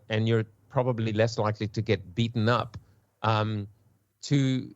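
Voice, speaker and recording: South African-accented man, low voice, dry and muffled remote audio, halting guest on a panel. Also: no echo. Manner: hesitant, many restarts and repeated words. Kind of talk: casual podcast discussion.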